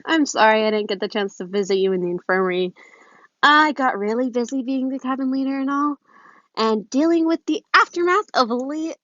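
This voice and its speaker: A woman's voice: high voice, fairly quick, a bit bright, dry recording, voice pushed up. A woman voicing a friendly, tactful teenage girl with an earnest, chatty manner in a story reading. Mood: friendly concern. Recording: muffled phone recording.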